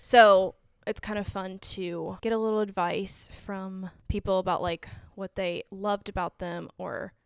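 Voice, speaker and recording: Young female podcast co-host, casual and talkative, crisp tone, dead-dry mic. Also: medium pitch, steady tone, unhurried, few pauses, no echo. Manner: chatty, lots of "like" fillers. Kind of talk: casual chat.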